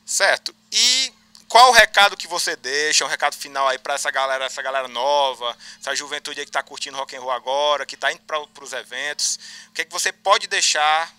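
A man's voice: a little high, brisk, pushed and bright, clear, resonant tone, no echo. A young man hosting a show, interviewing a guest in a small record shop in a friendly, informal, slangy way with a handheld mic. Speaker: show host. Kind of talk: casual interview and show wrap-up.

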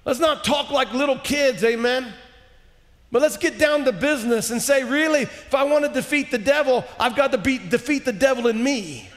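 A man in his forties delivers an impassioned sermon in a large church sanctuary, his voice strikingly high. He swings from shouted peaks to low, hushed lines. The headset mic catches a long reverb trailing through the hall. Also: normal speed. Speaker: preacher.

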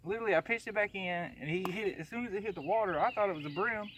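An excitable man with a Southern US accent who repeats himself, chatting casually on a fishing vlog from a small open boat. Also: high voice, very fast, poor audio. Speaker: fishing vlogger. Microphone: action camera, worn or mounted nearby. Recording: outdoor action camera with background noise.

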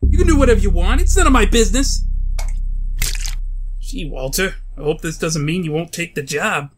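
A man's voice: high-pitched, lively intonation, moderate pace, background noise. A man playing an uneasy mobster in a comic book dub. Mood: distressed. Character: anxious, pleading male crime boss and mob henchmen.

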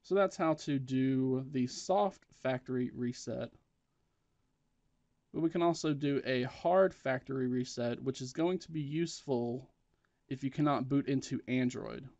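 A man's voice: mid-range voice, measured pace, a little mellow, no room sound. Man giving a dry, muffled tech tutorial. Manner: plain, instructional, matter-of-fact. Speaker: tutorial presenter. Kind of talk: step-by-step spoken tech tutorial.

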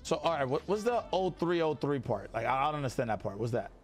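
A man's voice: mid-range voice, big pitch swings, fast, faint music behind. A young man streaming casual improvised game roleplay as a deputy, hesitant and repeating himself, on a close mic. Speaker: streamer playing a sheriff's deputy in online roleplay. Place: small indoor streaming room.

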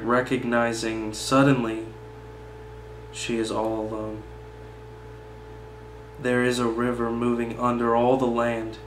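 Slow, even-toned young man in echoey room. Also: mid-range voice. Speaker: poetry reader. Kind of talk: poem reading, then informal personal commentary. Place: small indoor meeting room, seated at table. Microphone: camera mic a few feet away. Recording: roomy echo with faint background hum.

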